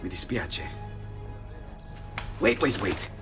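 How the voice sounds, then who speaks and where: A man voicing an excitable, absent-minded young Renaissance inventor with an Italian accent in a video game, eager and exclamatory, dropping into hushed mutters. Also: low-pitched, takes it slowly, heavily acted. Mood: intrigued, thoughtful. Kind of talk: animated video game dialogue acting. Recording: music behind the voice.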